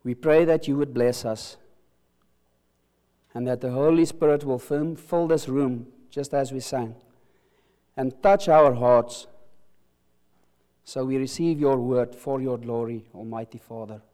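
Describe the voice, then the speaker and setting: A man's voice: medium pitch, dark tone. Earnest man with Afrikaans accent preaching. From South Africa. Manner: earnest, halting with restarts and stresses. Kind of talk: sermon with opening prayer.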